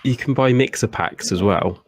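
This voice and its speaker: A man's voice: mid-range voice, normal speed, dry recording. A man co-hosting a livestream, chatting casually in an easygoing, offhand manner. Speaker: streamer co-host.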